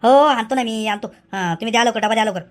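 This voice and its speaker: A woman's voice: on the high side, fast talker, lightly acted. A woman voicing an impatient, money-minded village man in a comedy cartoon, repeating phrases. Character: hurried, money-minded male village go-between. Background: faint music.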